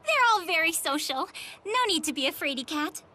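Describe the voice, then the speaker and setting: A woman's voice: very high voice, sing-song, normal speed, pushed and bright, heavily acted. A woman playing a theatrical, helpless cat-loving lady in a video game, gushing and melodramatic. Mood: coy pleading. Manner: gushing, melodramatic, pun-laden.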